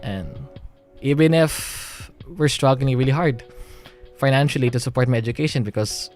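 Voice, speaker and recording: A man's voice: mid-range voice, normal speed, darkish tone. Young man, Filipino accent, livestream monologue, rambling restarts, faint music underneath. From Philippines. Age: young adult. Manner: rambling with many restarts and nervous laughs. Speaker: streamer.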